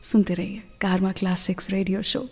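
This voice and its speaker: A woman's voice: medium pitch, little pitch movement, fairly quick, husky, long reverb tail, faint music behind. A woman hosting a radio show, telling stories in a chatty narration that slips English words in.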